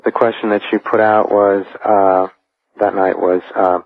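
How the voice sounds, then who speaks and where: A man phoning a radio show, recounting something in a measured, halting way. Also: fairly low pitch, little pitch movement, creaky. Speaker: phone-in caller. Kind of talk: conversational recounting on a radio call. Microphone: telephone. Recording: narrow phone line.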